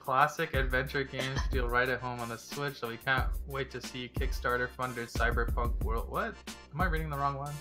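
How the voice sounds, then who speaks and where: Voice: medium pitch, fairly even intonation, moderate pace. A co-host in a casual game chat, blunt and dismissive, swearing freely. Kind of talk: casual chat about games. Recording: music clearly behind.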